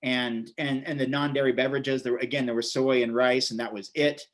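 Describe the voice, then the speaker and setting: A man's voice: medium pitch, steady tone, fairly quick. An American man in his forties telling stories casually as an interview guest, rambling and repeating his words as he goes. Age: 40s. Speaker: interview guest, vegan bodybuilder and author.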